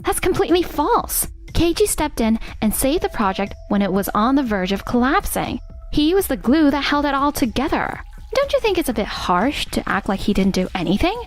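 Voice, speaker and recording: A woman plays a sweet young fiancée from a manga dub, pitched quite high. She is earnest and loyal, gentle yet firm. Soft music runs behind her. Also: very animated, normal speed. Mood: firm, indignant. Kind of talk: animated manga dub dialogue.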